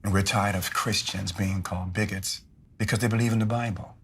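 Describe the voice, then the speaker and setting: A low-pitched man of the church as a male actor plays him, urging with earnest conviction. He speaks persuasively, as in a film drama, over faint background ambience. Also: steady tone, a little fast, a little hiss or hum, natural voice.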